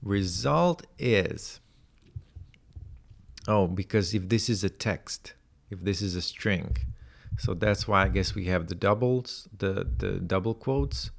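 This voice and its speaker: A man walks through code while thinking aloud. He speaks slowly, hesitating and muttering to himself. His lowish voice has a gravelly edge. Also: a little hiss or hum. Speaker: programming tutorial presenter. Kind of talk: thinking-aloud coding walkthrough.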